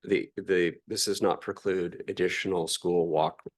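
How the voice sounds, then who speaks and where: Deep-voiced man chairing a council meeting. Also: fairly even intonation. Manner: measured, procedural, slightly halting. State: losing his voice, clearing throat. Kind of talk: formal meeting procedure.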